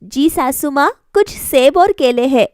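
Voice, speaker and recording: A woman acts out a young cartoon daughter-in-law, caring and dutiful. The voice is high and unhurried, with polite, respectful phrasing. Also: lively intonation, darkish tone, no echo, clean studio sound.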